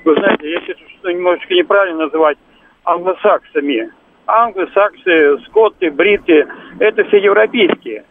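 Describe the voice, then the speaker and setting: A man with a high voice speaks over a tinny, narrowband phone line. He is a radio caller offering a rambling opinion on air. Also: normal speed, slight background noise. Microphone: telephone.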